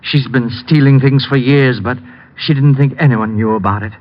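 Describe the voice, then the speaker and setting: Man playing smooth defensive husband, medium pitch, formal, measured, old radio drama, muffled lo-fi sound. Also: lightly acted. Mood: solemn. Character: smooth, defensive husband hiding behind polite explanations. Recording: dull old radio recording.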